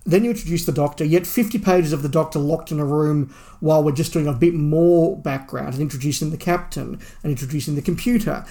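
A man's voice: on the high side, fairly quick, few pauses, dark tone. A man co-hosting a podcast, discussing a book casually in an analytical, opinionated way with emphatic repetition. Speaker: podcast co-host.

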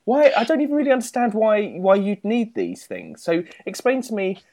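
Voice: medium pitch, big pitch swings, moderate pace, a little mellow. A co-host in casual podcast chat, giggling often and asking squeamish questions.

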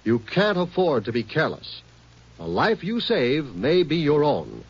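A man's voice: fairly high pitch, huge pitch swings, measured pace, husky. A man reading a scripted public safety announcement on 1950s radio in an earnest, emphatic way. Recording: muffled old 1950s radio recording.

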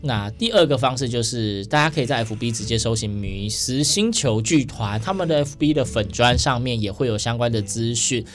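A man's voice: mid-range voice, lively intonation, brisk, hardly any pauses, faint music behind. A man with a Taiwanese Mandarin accent hosting a casual interview in a radio studio. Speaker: radio show host.

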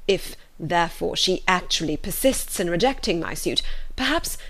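A woman's voice: lowish voice, animated, normal speed, a bit bright. A woman voicing a pompous, self-important young male suitor in an audiobook, stiffly formal and wordy. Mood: stiff, affronted. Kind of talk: audiobook character voice.

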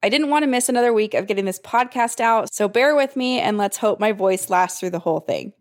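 A woman hosts a podcast about fiction writing, her voice a touch hoarse and froggy. She explains each point in an upbeat, orderly way, as if reading from prepared notes. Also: mid-range voice, fairly quick. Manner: upbeat, clear step-by-step explaining. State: slightly hoarse. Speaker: podcast host and writing coach. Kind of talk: scripted podcast teaching.

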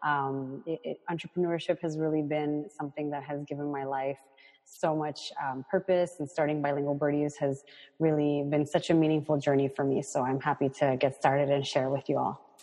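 A woman in her thirties, a guest on a podcast, talking casually and filling her pauses with ums. Her voice sits low for a woman. Long reverb trails behind her. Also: steady tone, mellow. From Los Angeles. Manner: chatty, with fillers. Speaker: guest entrepreneur. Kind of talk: casual interview talk.

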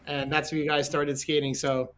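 A man's voice: a little high, level tone, normal speed, fairly bright tone. A man hosting a casual podcast, asking easygoing questions of his guest. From Staten Island, New York. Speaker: podcast host. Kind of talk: casual interview chat.

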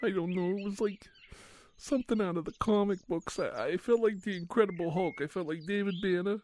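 Guy doing a high frantic nerdy dude w thick glasses, rambly, breathless. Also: a little fast, a little mellow, natural voice. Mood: rambling. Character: flustered nerdy young man with thick glasses. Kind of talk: ASMR roleplay storytelling.

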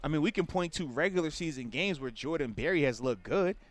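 Relaxed male football co-host. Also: fairly high pitch, brisk. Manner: relaxed, explains with repeated "man". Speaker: sports show co-host and analyst. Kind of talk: casual sports talk show.

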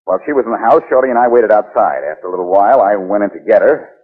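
Wry radio detective, low husky voice, terse commands, muffled vintage recording. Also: male voice, racing. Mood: exasperated. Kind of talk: 1940s radio drama acting.

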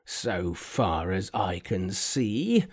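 A man's voice: sits low, sing-song, darkish tone, breathy edge, pitched below the performer's own voice, full character voice. A man doing a doubtful old farmer. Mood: skeptical. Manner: doubtful, grumbling, slow. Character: worried, skeptical elderly male farmer.

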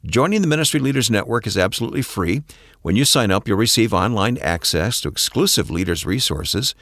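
A man co-hosting the show, reading an announcement with smooth announcer delivery. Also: fairly low pitch, expressive, a little fast, fairly bright tone, dry recording, clean studio sound. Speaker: co-host.

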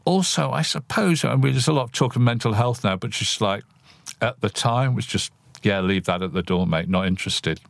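A man with a deep voice talks as a guest poet in an interview. He is thoughtful and analytical, reflecting as he speaks. The recording is close and dry. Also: moderate pace, gravelly, dry recording.

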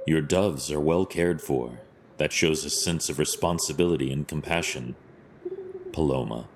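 Brooding masked hero, rock-bottom pitch, terse, solemn, radio-play delivery, light ambient noise. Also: male voice, moderate pace. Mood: measured, gentle. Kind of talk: audio drama acting.

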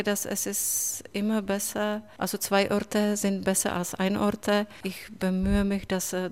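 A Czech woman answering interview questions in a learned second language, pausing often with fillers and broken grammar. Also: mid-range voice. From Czech Republic. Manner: hesitant, many pauses and fillers, broken grammar. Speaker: writer, interview guest.

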